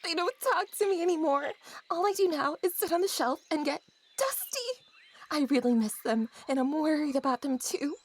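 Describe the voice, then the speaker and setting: A woman's voice: high voice, lively intonation, moderate pace. A woman voicing a hurt, sulky, childish stuffed toy pig in an audio drama, petulant and indignant. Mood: tearful, worried.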